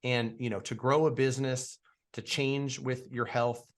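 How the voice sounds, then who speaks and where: Podcast host, male, 40s, mid-pitched, casual and eager, loose conversational delivery. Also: little pitch movement, a little slow. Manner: enthusiastic, conversational, heavy on "like" and "you know". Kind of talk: casual interview chat.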